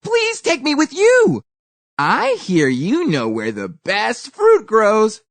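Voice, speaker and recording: A woman's voice: on the high side, wildly swooping pitch, dry recording, voice pushed up, full character voice. A woman acts out a greedy male trickster spider from a storybook. He pleads in a raised, wildly swooping voice and drags out his words with false sincerity. The sound is close and dry. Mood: wheedling, pleading. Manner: drawn-out, pleading, insincere delivery.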